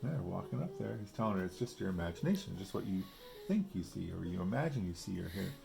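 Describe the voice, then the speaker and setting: A man's voice: sits low, big pitch swings, fast talker, vocal fry, over music. A man reading a picture book aloud with casual asides, explaining simply as if to a young child. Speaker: storybook reader.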